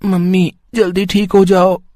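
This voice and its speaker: A woman's voice: medium pitch, level tone, measured pace, mellow, no room sound, studio quality, natural voice. A woman voicing a sweet, obedient young child with an earnest manner in a cartoon story. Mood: worried.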